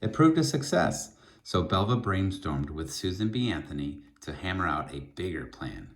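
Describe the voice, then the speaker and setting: A man reading a children's book aloud in an expressive storytelling style, with deliberate, emphatic phrasing. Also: sits low.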